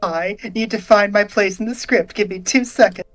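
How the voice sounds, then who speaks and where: A woman's voice: medium pitch, normal speed, soft background music. A woman co-hosting a game read-along, talking casually between script readings.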